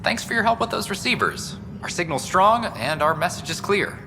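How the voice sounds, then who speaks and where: An actor playing a chatty, dedicated news broadcaster on a space station in a video game, informal and earnest. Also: male voice, high-pitched, very animated, normal speed, music in the background, exaggerated voice. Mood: friendly, earnest. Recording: echoing hall, clear background noise.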